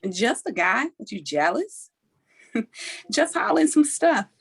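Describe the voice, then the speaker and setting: An actress at a table read playing a brash, sweet-talking punk rock woman, breezy and teasing in a husky voice. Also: on the high side, sing-song, takes it slowly, full character voice.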